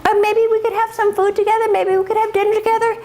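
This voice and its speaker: A woman's voice: extremely high pitch, flat delivery, fast talker, darkish tone, voice pushed up. A woman voicing a sweet, eager young calf in a children's story, polite and pleading for a friend. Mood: hopeful, friendly. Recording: noisy live room recording.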